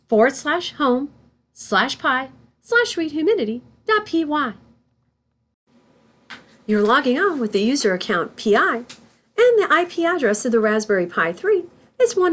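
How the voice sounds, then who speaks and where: A woman with a fairly high voice gives scripted tutorial narration. Her pitch moves in a very lively way while she instructs deliberately, step by step, as a teacher recording a voice-over. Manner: deliberate, instructional, spells out terms letter by letter.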